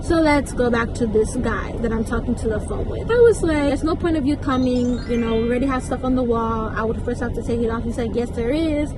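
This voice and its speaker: High-voiced young woman, animated and indignant. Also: brisk, hardly any pauses, over music, rough recording. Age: young adult. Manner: animated, indignant, emphatic with slangy asides. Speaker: vlogger. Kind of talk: casual storytime, acting out conversations.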